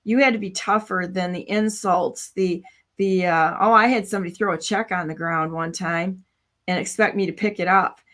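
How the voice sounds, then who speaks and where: A woman's voice: on the low side, fairly even intonation, normal speed, fairly bright tone. Blunt rambling woman in her sixties. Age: 60s. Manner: blunt, rambling, heavy on "you know" and "like". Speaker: host. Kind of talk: casual chat.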